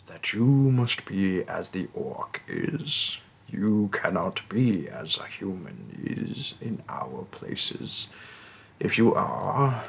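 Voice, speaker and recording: A man voicing a hulking tusked orc shaman, stern and gruff yet hospitable, speaking with formal, deliberate phrasing in a fantasy roleplay audio. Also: fairly low pitch, slight background noise, heavily acted. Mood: stern, commanding. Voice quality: gravelly, husky.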